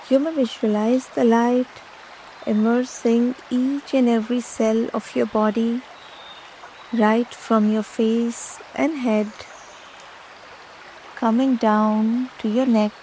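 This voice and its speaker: A woman leading a guided meditation, giving soothing, measured instructions with long pauses between phrases. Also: fairly high pitch, warm tone, a little hiss or hum, rough recording. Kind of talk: slow guided meditation narration.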